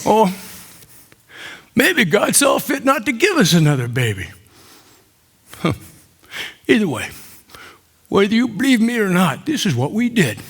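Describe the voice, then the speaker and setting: Cheeky old man in his nineties, played by a male actor, stage monologue: slow, bright, chatty and tender. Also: high voice, huge pitch swings, breathy edge, exaggerated voice. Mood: tender, confiding. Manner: unhurried storytelling with pauses for laughs. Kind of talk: theatrical comic monologue on stage.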